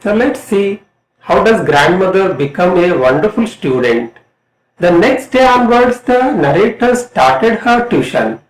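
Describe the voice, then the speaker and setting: A middle-aged man with an Indian accent narrates a lesson to students as a college English lecturer, in an emphatic, measured way. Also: high-pitched, very animated. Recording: echoey room sound.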